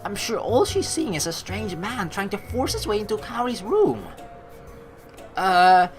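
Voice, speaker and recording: A young woman reading a visual novel aloud with joking, swearing asides, animated and quick to laugh. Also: medium pitch, over music. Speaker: let's play streamer reading a visual novel.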